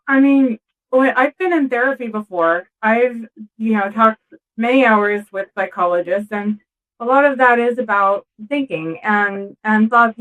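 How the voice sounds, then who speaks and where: High-pitched woman hosting an interview podcast. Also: fairly bright tone, rough recording. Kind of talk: scripted introduction and conversational interview.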